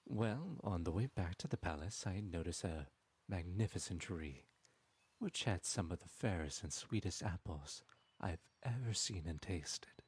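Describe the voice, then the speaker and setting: A man voicing a sly, smooth-talking male trickster god in an audio roleplay, speaking in a silky, teasing and persuasive way. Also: sits low, lively intonation, unhurried, heavily acted. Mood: scheming.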